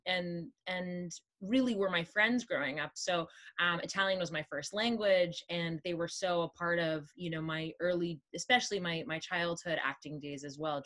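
A woman in her thirties from Toronto, an actress talking in a casual interview over a video call, animated and full of fillers. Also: on the low side. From Toronto, Canada. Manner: animated, many fillers and restarts. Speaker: actress and producer, guest. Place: home room, indoors, green wall.

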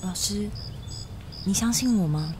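A woman's voice: mid-range voice, unhurried, background noise, lightly acted. A woman acting a wistful, secretive teenage schoolgirl in a radio drama. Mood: hesitant, confiding. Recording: radio drama with music underneath.

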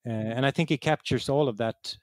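A man from Sweden speaks English with a Swedish accent in a mid-pitched voice. He is an interview guest, conversational and halting, repeating words as he looks for the right one. The sound is dry, with no room echo. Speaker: interview guest, game writer.

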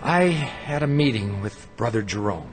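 A man's voice: on the low side, animated, normal speed, natural voice. An actor playing an earnest, uneasy, skeptical man in a radio drama, in dialogue and first-person narration. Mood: urgent, hushed. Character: uneasy, skeptical male traveler telling his eerie tale. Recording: music bed behind the voice.

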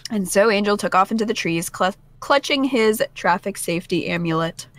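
A woman co-hosting a gaming video, reading visual novel narration with playful, expressive asides. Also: medium pitch, normal speed, dry recording.

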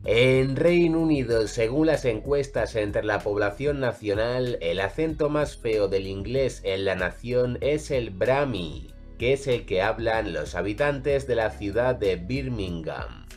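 A man narrating a ranking video in a scripted voice-over, reading each entry in a measured, even way. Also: fairly low pitch, soft background music. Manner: measured, evenly read list delivery.

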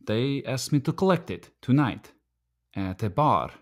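An actor playing a proud, desperate immigrant night watchman in a radio play, pleading earnestly and haltingly. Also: mid-range voice, very animated. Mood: hushed, earnest.

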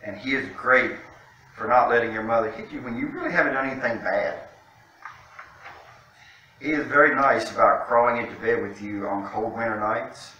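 Echoey, bright-voiced man reading a picture book. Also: medium pitch, moderate pace, long pauses, muffled recording. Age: middle-aged. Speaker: guest storytime reader, city official. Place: indoor room, seated by a fireplace mantel. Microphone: distant camera microphone. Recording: very echoey room, distant mic, faint noise.

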